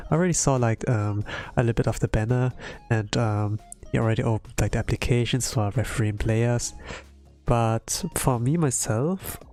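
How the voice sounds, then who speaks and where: A man from Europe, a non-native English speaker, chatting casually on a livestream in a hesitant, halting way with lots of fillers, sounding tired. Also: medium pitch, a little mellow. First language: not English. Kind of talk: casual rambling livestream chat. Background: background music.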